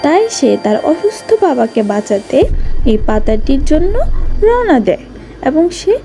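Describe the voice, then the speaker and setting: Female narrator for a children's cartoon tale, high-pitched voice, music underneath. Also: sing-song, moderate pace. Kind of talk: scripted story narration.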